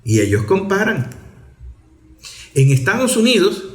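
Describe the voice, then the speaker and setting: A man with a Venezuelan accent giving political commentary in an emphatic, rhetorical way, pausing deliberately between phrases. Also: fairly high pitch. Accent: Venezuelan Spanish. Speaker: political commentator on an online program. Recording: echoey, reverberant room.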